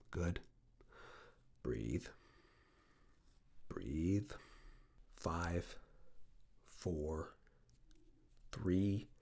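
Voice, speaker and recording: A man in an ASMR roleplay playing a stern, controlling yet reassuring fitness assessor, giving hushed, clipped commands in a breathy, gravelly voice that often slips into whispers. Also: deep voice, full character voice. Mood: firm, focused. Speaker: ASMR performer. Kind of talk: ASMR personal attention roleplay.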